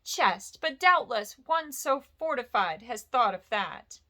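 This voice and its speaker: Timid storybook hobbit, male, high squeaky voice, bright, read by a woman. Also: very animated, fairly quick, heavily acted. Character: small nervous male hobbit, polite and flattering.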